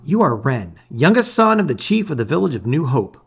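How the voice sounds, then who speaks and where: A middle-aged man with a mid-range voice hosts a read-aloud. He speaks expressively and leans on key words, then lets phrases fall away softly. The recording is a little dull and lo-fi. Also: medium pitch, very animated, a little fast, vocal fry, breathy edge. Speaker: host reading a gamebook aloud. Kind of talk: casual intro and dramatic read-aloud of storybook narration. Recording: slightly dull, lo-fi sound.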